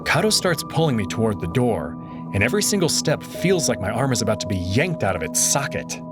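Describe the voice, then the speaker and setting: A man's voice: mid-range voice, very animated, a little fast, over loud music. A man narrating a visual novel in the first person, reading it expressively and dramatically.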